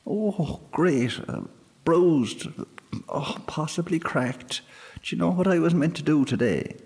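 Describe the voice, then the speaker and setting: Man putting on a tiny fairy man, high and huffy, sharp-tongued, long hall reverb. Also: animated, slow pace, vocal fry, husky, exaggerated voice. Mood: aggrieved. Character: tiny bearded male fairy, prickly and indignant.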